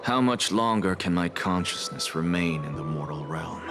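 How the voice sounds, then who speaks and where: An actor playing a stern, cynical scholar in a video game, with a measured, deadpan delivery. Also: male voice, deep voice, music in the background. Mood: dry, resolute. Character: dry, stern male scholar, calm and cynical. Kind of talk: scripted game dialogue and narration.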